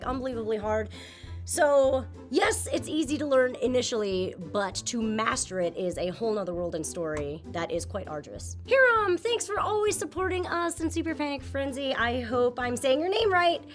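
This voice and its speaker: A young woman from Japan, whose first language is Japanese, answers viewer questions in an upbeat, chatty way. Her voice sits a little high, with faint music playing softly behind her. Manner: chatty, upbeat, with small laughs and fillers. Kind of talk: casual Q and A vlog.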